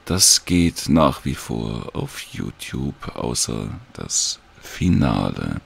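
Very deep, gravelly, slow-talking man. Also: sing-song, a little hiss or hum. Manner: informal, hesitant, unhurried. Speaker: audiobook creator giving a download tutorial. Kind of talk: casual screen-recording walkthrough.

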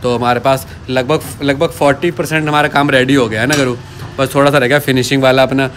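A man's voice: medium pitch, fairly even intonation, fast, a bit bright, slight background noise. A man chatting playfully while filming a home cooking vlog with his phone, teasing as he goes. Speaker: vlogger. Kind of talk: casual cooking vlog chat.

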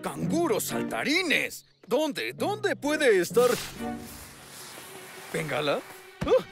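A man voicing an excitable, exclamatory rescue pilot in a Latin American Spanish cartoon dub. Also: sits high, extremely slow, gravelly, music in the background, full character voice. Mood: startled.

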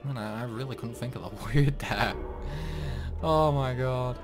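A man's voice: mid-range voice, measured pace. A man giving casual playthrough commentary on a video game, rambling along with grumbling asides. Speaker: gaming video host. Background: game music.

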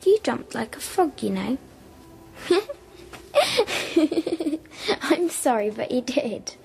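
A woman's voice: fairly high pitch, lively intonation, slow pace, creaky, darkish tone, soft background music, exaggerated voice. A female actor playing a shy, curious and playful little girl in a radio drama, hesitant and childlike. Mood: shy, apologetic.

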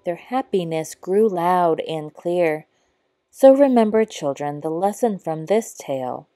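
A woman reading a children's story aloud in a measured, expressive storybook style. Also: on the low side, dry recording. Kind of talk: scripted read-aloud narration for children.

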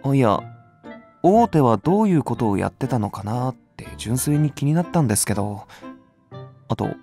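A man's voice: mid-range voice, sing-song, moderate pace, dark tone, faint music behind. An actor playing an earnest, idealistic young male nursery teacher in a Japanese voice drama, politely protesting. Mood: hesitant, apologetic. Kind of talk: Japanese anime-style voice drama.

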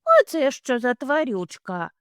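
A woman voicing a tiny excitable bunny child with a squealing, pleading manner. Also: high-pitched, unhurried, no room sound, clean studio sound, pitched above the performer's own voice, heavily acted. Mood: curious.

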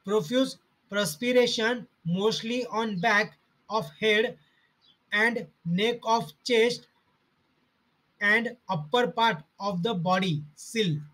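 A man with a high, bright voice reads through medical study notes. He goes slowly and halting, breaking each list into short fragments with pauses between them. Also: steady tone, low-quality recording. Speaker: homeopathy study narrator. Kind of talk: slow reading of study notes.

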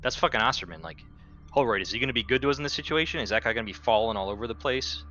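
A man's voice: mid-range voice, animated, racing, pushed and bright, dull audio, faint music behind. A man casually talking through plans as a player in a tabletop roleplaying game.

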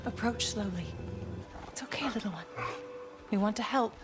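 A gentle but resolute young wife in a cinematic game , played by a woman. Her mid-pitched voice is earnest, measured and quietly firm, with music clearly playing behind it. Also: lightly acted. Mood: soothing. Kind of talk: cinematic video game acting.

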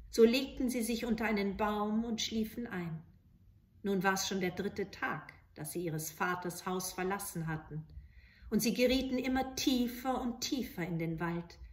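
A woman tells a fairy tale for children. Her delivery is slow and expressive, at a medium pitch. The home room adds noticeable echo. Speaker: storyteller. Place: small living room with bookshelves, indoors. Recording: roomy home recording.

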